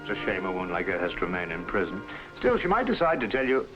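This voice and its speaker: A man playing a genial, sympathetic gentleman doctor and detective's companion in an old-time drama, sociable and brisk. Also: sits low, wildly swooping pitch. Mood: sober, sympathetic. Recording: muffled old radio recording, faint music.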